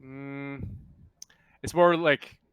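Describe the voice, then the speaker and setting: A man's voice: medium pitch, steady tone, extremely slow, clear, resonant tone, narrow, tinny audio. A man chatting casually as a player at a tabletop game. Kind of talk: casual chat.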